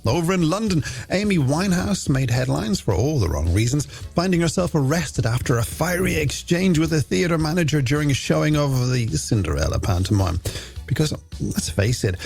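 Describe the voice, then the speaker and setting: Irish man, radio host, fairly high voice, companionable patter, scripted links, music bed. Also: vocal fry, a little mellow. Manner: chatty, companionable patter addressed to listeners. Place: radio studio.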